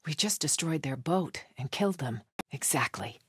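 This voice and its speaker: An actress playing a stern, devout cult soldier in a video game, solemn, guarded and terse. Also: female voice, low voice, lively intonation, moderate pace, breathy edge, dry recording, studio quality, exaggerated voice. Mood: solemn, watchful. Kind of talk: video game enemy dialogue.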